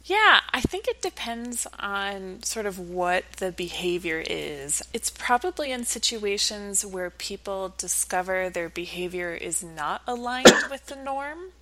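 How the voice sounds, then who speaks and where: A woman's voice: medium pitch, expressive, measured pace, few pauses, background noise. A woman speaking as an academic researcher in an interview, explaining conversationally with everyday examples.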